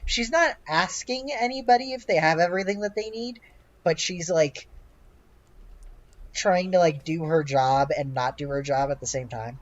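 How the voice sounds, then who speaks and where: A game master improvising narration for a tabletop game, halting and hesitant, with "like" sprinkled throughout. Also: medium pitch, muffled recording. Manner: halting, hesitant, heavy use of "like".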